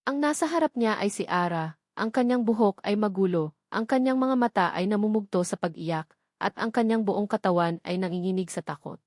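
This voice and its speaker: A woman narrates a scripted story dramatically, in short, measured, suspenseful phrases. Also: mid-range voice, a little mellow, no echo, clean studio sound.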